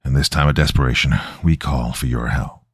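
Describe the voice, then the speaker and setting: A male narrator acts out a stern man leading an exorcism in a horror tale. His voice is very deep and solemn, commanding and prayerful, recorded dry and close. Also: fairly even intonation, a little fast, slightly rough, dry recording. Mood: solemn pleading.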